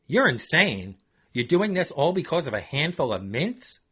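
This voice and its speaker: A man putting on a raised, jumpy voice for a shifty liar who turns defensive and swears freely. The pitch swings widely as he dodges questions and snaps back. It comes across as a storyteller's put-on character voice. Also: sing-song, normal speed, bright, forceful tone, husky, full character voice. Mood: angry. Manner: defensive, foul-mouthed. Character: shifty, hot-tempered man caught lying.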